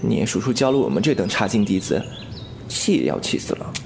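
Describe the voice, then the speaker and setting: An actor playing a frank, inquisitive, cheeky young swordsman in a wuxia audio drama, with an expressive, exclamatory delivery. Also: on the low side, a little fast, vocal fry. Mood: casual. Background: music.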